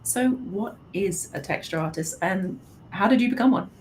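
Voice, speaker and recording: Friendly woman moderating a streamed panel, middle-pitched, faint hiss behind. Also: expressive, normal speed, low-quality recording. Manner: friendly, self-deprecating. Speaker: host, product manager. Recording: faint background noise.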